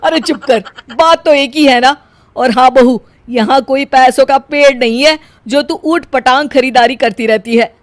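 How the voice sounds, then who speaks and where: A woman's voice: high voice, a little slow. A woman voicing a suspicious, scolding older mother-in-law in a theatrical cartoon style. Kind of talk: Hindi cartoon story acting.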